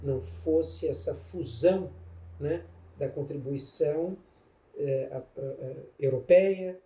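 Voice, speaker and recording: A Brazilian man hosting an interview with a very dark, mellow voice and a measured delivery. He keeps affirming his guests with short agreements. He is heard from a distance in a room with an echo. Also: fairly high pitch. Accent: Brazilian Portuguese. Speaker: interviewer, host. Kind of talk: interview conversation. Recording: roomy echo, mic at a distance.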